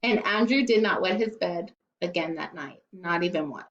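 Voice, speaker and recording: Lady teacher reading a kids book out loud, expressive, bit muffled and echoey. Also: on the low side, normal speed. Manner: expressive, with playful asides. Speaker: primary school teacher. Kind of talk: storybook read-aloud for children. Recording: echoey, slightly dull room recording.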